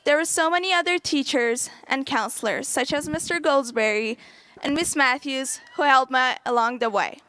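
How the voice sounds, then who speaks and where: Bright high-voiced young woman, earnest speech. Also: dry recording. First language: Farsi. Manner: earnest, reading a prepared speech. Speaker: graduating student speaker. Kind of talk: prepared graduation speech.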